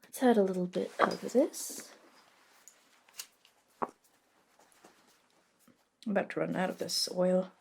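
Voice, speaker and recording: A woman playing a friendly, reluctant modern witch in a soft-spoken ASMR roleplay, casual, unhurried and slightly wry. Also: fairly low pitch, creaky, slight background noise. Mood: reassuring, focused. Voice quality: soft, hushed delivery.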